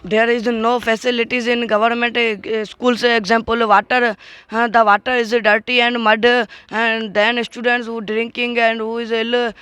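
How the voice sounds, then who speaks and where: A man's voice: very high-pitched, little pitch movement, moderate pace, pushed and bright, clean tone. A man speaking in a classroom debate, faltering with fillers. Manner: starts read, then falters with fillers. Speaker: debate participant.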